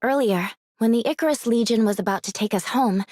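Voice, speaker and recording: A woman's voice: fairly high pitch, a little fast, darkish tone, no room sound, studio quality, close to an everyday voice. A woman voicing a thoughtful young companion in an anime game.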